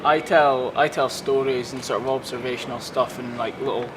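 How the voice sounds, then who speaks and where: A man's voice: mid-range voice, steady tone, normal speed. A young man from Scotland, a stand-up comedian chatting casually in an interview about his show, rambling along like a storyteller. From Scotland (Aberdeenshire). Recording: street noise outdoors.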